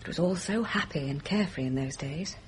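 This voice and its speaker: A woman's voice: deep voice, fairly quick, close to an everyday voice, low-quality recording. An actress playing a genteel young English lady in a radio drama, politely clipped and brittle, hiding inner turmoil. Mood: wistful. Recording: radio drama with faint background noise.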